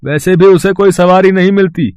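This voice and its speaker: Man playing a high-pitched, scoffing rickshaw driver. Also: brisk, a little mellow, smooth, no room sound, lightly acted. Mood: irritated dismissal. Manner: scoffing, blunt. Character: brusque, mocking male rickshaw driver. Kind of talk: cartoon moral story acting.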